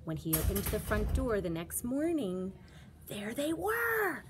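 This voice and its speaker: A woman's voice: mid-range voice, huge pitch swings, a little slow, background noise. A woman reading a children's storybook aloud as a teacher, with dramatic, emphatic delivery.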